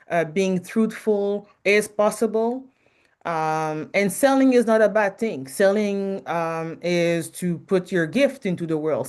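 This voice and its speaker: A loud, frank French-Canadian woman speaks in a fairly low voice as a podcast guest. She hesitates often, searching for words with ums. She talks openly about her work, and her speech has the plain feel of an interview conversation. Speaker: podcast guest, marketing advisor.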